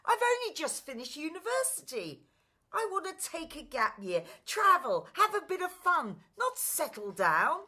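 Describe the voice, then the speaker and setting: Stubborn young prince, played by a woman: petulant, emphatic, high and animated, radio drama. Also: a little slow, full character voice. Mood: defiant, exasperated. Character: stubborn, defiant young prince arguing with his father.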